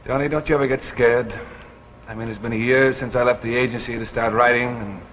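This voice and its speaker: An actor playing a restless, struggling writer and young husband in a 1950s anthology drama, excitable and sometimes halting. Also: male voice, medium pitch, natural voice. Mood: discouraged. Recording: muffled, noisy 1950s broadcast recording.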